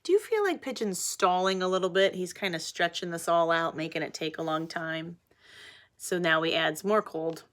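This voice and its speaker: A woman teacher reading a picture book to children, animated, pausing for simple explanations and questions. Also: lowish voice. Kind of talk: picture-book read-aloud with asides to children.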